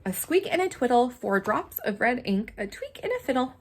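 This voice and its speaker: A woman reading a children's story aloud in an expressive, dramatic manner, with simple asides for young listeners. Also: on the high side, normal speed, breathy edge. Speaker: storybook reader.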